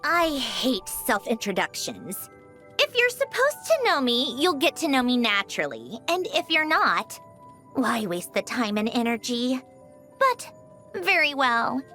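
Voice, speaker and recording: An actress playing a haughty, refined marionette villain in a video game, prim and theatrical, with dismissive huffs and a softer side. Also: female voice, on the high side, big pitch swings, a little slow, soft background music, exaggerated voice. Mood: haughty, formal. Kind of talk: scripted video game character voice lines.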